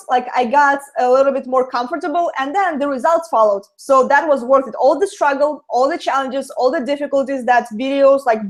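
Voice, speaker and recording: Woman, Russian accent, high voice, young adult, chatty interview guest, many fillers. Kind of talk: casual live interview.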